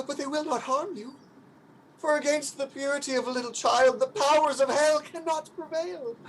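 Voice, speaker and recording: A woman's voice: high-pitched, a little hiss or hum, low-quality recording. A woman playing a mournful, centuries-old male ghost in a radio play, grave and pleading, with archaic phrasing. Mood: ominous, hushed.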